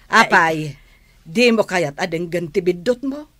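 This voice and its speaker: A woman's voice: on the low side, lively intonation, a little slow, a bit bright, no room sound. An actress with an Ilocano Filipino accent playing an impatient female moneylender, nagging and confrontational, in a radio drama. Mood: angry scolding.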